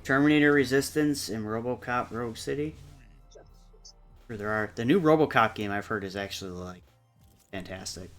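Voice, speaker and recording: A man's voice: mid-range voice, moderate pace, pauses often, fairly bright tone. A man hosting a stream, chatting casually about games in an opinionated way with some swearing. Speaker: stream host. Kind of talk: casual gaming chat. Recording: music playing underneath. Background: music.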